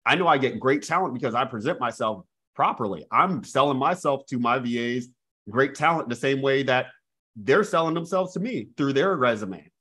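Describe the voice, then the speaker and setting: A man's voice: mid-range voice, moderate pace. A man in his thirties giving a live real estate coaching webinar over a video call, talking energetically and often calling out to his audience. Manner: energetic, repeats "guys", restarts phrases. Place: small room indoors. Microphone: earphone mic on video call.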